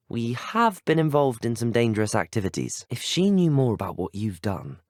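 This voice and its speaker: A young man with a British accent voicing a polite, inquisitive student wizard in a video game, measured and well-spoken. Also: mid-range voice, big pitch swings, darkish tone, no echo. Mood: earnest, composed. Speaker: video game player character. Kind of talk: scripted game dialogue.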